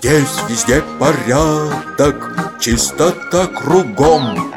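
An actor playing a proud, stern old tomcat guard in a children's musical audio play. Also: male voice, mid-range voice. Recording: loud music under the voice.

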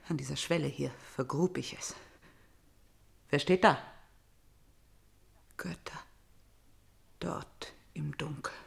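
Fierce tormented princess, slow declamation, whispers and outcries, classical radio tragedy. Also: female voice, sits low, gravelly, a little mellow, husky, heavily acted. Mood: hushed, obsessive. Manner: declamatory, intense, swinging between whispers and outcries. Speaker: radio drama actor. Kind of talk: classical tragic radio play acting.

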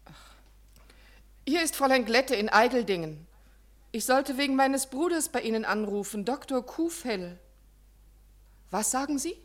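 Caring, lively-voiced composed young lady. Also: on the high side, unhurried, long pauses. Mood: worried, composed. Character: caring, composed unmarried woman giving nursing help. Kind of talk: 1950s German radio drama acting. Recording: 1950s radio recording.

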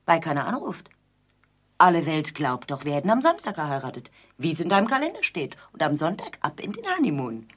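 A nagging bride in an absurdist radio play. Her voice is low and husky and swings widely in pitch as she hammers home her sardonic complaints with theatrical emphasis. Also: sing-song, fairly quick, a bit bright, full character voice. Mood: exasperated, triumphant. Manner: theatrical, pointed, sardonic repetition. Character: aggrieved, nagging newlywed bride on her wedding evening.

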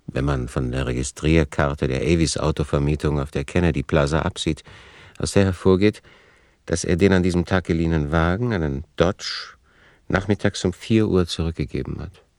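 An actor playing a sober, matter-of-fact narrator of a radio play, reporting in measured, precise like someone reading out a case file. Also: male voice, rumbling low, vocal fry, darkish tone, no echo, clean studio sound. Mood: detached, factual.

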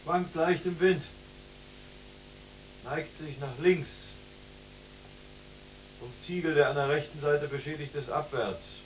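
Young prisoner, clipped phrases. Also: male voice, fairly high pitch, takes it slowly, long pauses, a little hiss or hum, poor audio. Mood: watchful, measured. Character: restless young male prisoner, pressing and watchful. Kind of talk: literary radio play dialogue.

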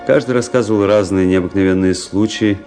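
Deep, grave male narrator over music. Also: fairly quick, a little mellow, close to an everyday voice. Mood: reflective. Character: grave officer recounting a fateful memory. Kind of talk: literary radio play narration.

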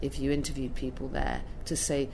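A woman's voice: very deep voice, little pitch movement, a little fast, vocal fry, dark tone. A middle-aged woman talking earnestly as an interview guest, often restarting her sentences as she thinks. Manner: hesitant, many restarts, earnest. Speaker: arts curator, interview guest. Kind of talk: thoughtful conversational interview. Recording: faint background noise.

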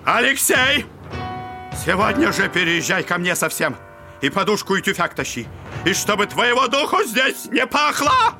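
A man's voice: sits high, big pitch swings, normal speed, over music. An actor playing a ranting, clownish, spiteful old father in an audio drama, theatrical and whining with mock piety. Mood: furious, mocking. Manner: theatrical, whining, mock-pious wailing and shouting. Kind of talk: Russian classic audio drama acting.

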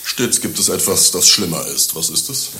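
A man's voice: low voice, animated, normal speed, pushed and bright, heavily acted. A male actor playing a cynical, dryly philosophical rogue in a satirical radio play, speaking in a dry, declamatory way. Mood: wry. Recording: echoey radio play room, faint noise.

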